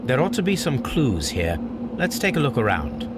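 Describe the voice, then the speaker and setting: An actor playing a sharp, methodical detective in a video game cutscene, speaking with precise, commanding assurance. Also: male voice, mid-range voice, wildly swooping pitch, normal speed, very noisy. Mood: intent, focused. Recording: game music over the dialogue.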